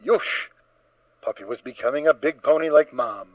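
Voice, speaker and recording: A man narrating a scripted story in a theatrical, expressive storytelling manner. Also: mid-range voice, moderate pace, no room sound, dull audio.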